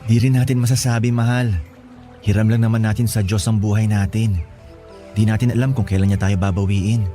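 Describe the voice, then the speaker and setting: Guy doing a young filipino boyfriend in a radio love drama, soft mellow tone, earnest and sentimental, faint music under. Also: lowish voice, level tone, a little fast, close to an everyday voice. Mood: earnest, loving. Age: young adult. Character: devoted young boyfriend leaving to study in the city. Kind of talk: Tagalog radio romance drama acting.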